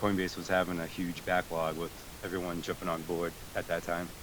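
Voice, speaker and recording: Deep-voiced man, hesitant, faint hiss. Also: level tone, brisk. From Greece. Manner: hesitant, many fillers and restarts. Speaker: guest, tech support team member. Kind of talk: casual interview answers. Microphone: remote voice chat call.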